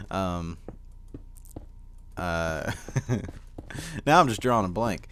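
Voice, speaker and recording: A teenage boy with a deep voice giving casual gameplay commentary, rambling with lots of fillers and easy laughs. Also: sing-song, drawn-out words, a bit bright, a little hiss or hum. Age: teens. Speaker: gaming commentator.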